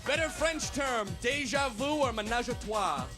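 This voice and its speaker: A man hosting a TV dating game show in a brash, joking way, shouting over the studio. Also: extremely high pitch, normal speed. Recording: archival TV clip. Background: loud music and cheering studio crowd.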